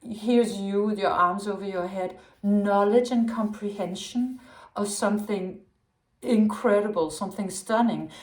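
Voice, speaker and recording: Woman, tarot reader, wandering and self-correcting, echoey room. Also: medium pitch. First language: Danish. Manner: rambling, searching for words with many restarts. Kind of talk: intuitive tarot reading monologue.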